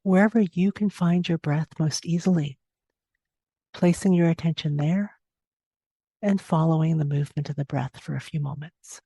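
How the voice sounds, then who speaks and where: Woman doing a guided body scan, mellow soft-edged tone, bit of creak in the voice, gentle n unhurried, lots of pauses. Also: low voice, no echo. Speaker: meditation teacher.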